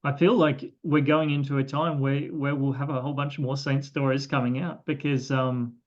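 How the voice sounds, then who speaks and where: A man's voice: mid-range voice, level tone, normal speed, dull audio. A man interviewing in a reflective, tentative conversational way.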